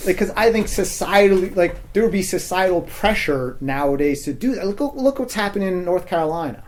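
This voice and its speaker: An American man talking casually and argumentatively as a podcast guest, halting often and leaning on filler words. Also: sits high, expressive. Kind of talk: casual opinionated conversation.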